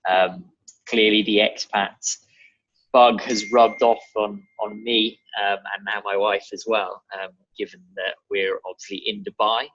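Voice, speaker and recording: A man's voice: fairly low pitch, level tone, a little slow, a bit bright. A young British man chatting casually as a podcast guest over a video call. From England and Germany. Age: young adult. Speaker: podcast guest, real estate advisor. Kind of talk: casual conversational interview. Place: bright room at home, indoors. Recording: dull, muffled video-call audio.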